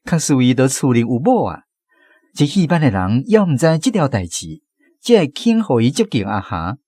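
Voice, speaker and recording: A man's voice: mid-range voice, big pitch swings, normal speed, dark tone, husky, no room sound, studio quality. A man with a Taiwanese accent narrating a radio drama in a dramatic storytelling style.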